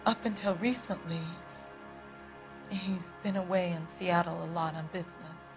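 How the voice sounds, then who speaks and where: Casting: prim, anxious American housewife, middle-aged, defensive and quick to take offence, game drama, clear music bed. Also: female voice, lowish voice, fairly even intonation. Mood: guarded, worried. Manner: defensive, easily affronted. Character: prim, anxious, indignant wife of a missing man. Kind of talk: dramatic game cutscene acting.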